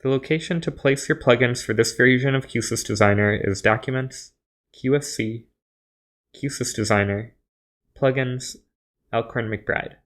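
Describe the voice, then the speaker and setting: A man with a mid-pitched voice reads scripted instructional narration. He presents like a tutorial guide, explaining each step in a measured, orderly way. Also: fairly bright tone.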